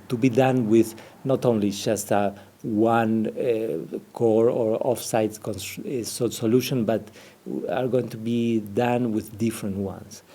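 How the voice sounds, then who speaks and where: A man's voice: on the low side, warm tone. A man from Argentina with an Argentine Spanish accent, a business developer answering interview questions, often searching for words.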